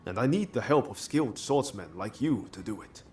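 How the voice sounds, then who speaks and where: An actor playing an easygoing, friendly young samurai reformer in a video game, casual and easily flustered. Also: male voice, fairly low pitch, lively intonation, fairly quick, darkish tone, slight background noise, lightly acted. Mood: earnest. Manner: casual, friendly, hesitant when cornered. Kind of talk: video game cutscene acting.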